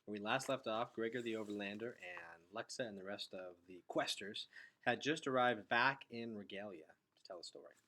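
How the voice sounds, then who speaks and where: A man reading a children's novel aloud in an expressive storytelling style. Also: on the low side, moderate pace. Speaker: read-aloud reader.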